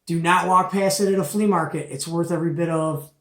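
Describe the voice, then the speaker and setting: A man's voice: a little high, fairly even intonation, a little fast. A middle-aged man giving a casual, folksy and opinionated product show-and-tell as the host. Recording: echoey, roomy home recording.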